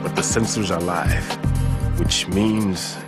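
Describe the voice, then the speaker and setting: A low male voice plays an aging bomb expert in a video game. He is grave and hard on himself, speaking like a seasoned specialist, with music clearly behind him. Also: slow. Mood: measured, wary. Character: aging veteran bomb disposal expert, grave and self-blaming. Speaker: bomb disposal expert on radio. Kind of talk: dramatic game voice acting.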